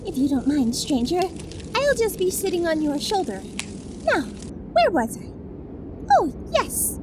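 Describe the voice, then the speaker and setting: A woman's voice: extremely high pitch, big pitch swings, measured pace, noisy background, full character voice. A woman voicing a tiny, polite female flower fairy in an audio roleplay drama, dainty and prim. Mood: prim, explaining.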